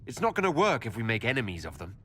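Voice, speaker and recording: An actor playing a composed, dry-witted hero and leader in a fantasy video game. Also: male voice, medium pitch, wildly swooping pitch, a bit bright. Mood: firm. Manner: measured, pragmatic, dryly joking. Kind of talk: video game dialogue acting. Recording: faint background noise.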